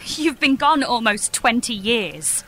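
A woman's voice: a little high, very animated, slow pace, fairly bright tone, slight background noise, full character voice. An actress playing a wounded, exasperated daughter in her thirties in an audio comedy, bluntly pressing for answers. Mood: hurt, aggrieved. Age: 30s. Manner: blunt, insistent, aggrieved. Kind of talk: audio comedy drama acting.

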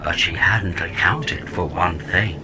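A man's voice: low-pitched, slow pace, background noise. A man playing a chatty, smug robot pod sidekick in a comedy audio drama, explaining things with wry, wordy theatrical flourishes. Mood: gleeful explaining.

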